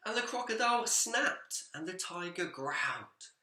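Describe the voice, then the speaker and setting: An echoey room carries a man's forceful, very bright voice. He reads to young children as a teacher would, with lively swings of expression and an unhurried delivery. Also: high-pitched, slight background noise. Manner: expressive, animated storytelling. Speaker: school story-time reader, teacher. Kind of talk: children's picture book read aloud. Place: indoors, seated in a reading chair.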